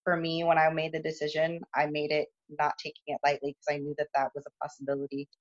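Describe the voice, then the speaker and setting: A woman's voice: completely flat delivery, fast talker. A young woman, a competitive lifter, chatting loosely in an interview over the phone, with lots of fillers and restarts and a voice that has lost its upper register. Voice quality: lost her upper register, no longer high. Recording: muffled phone-call audio.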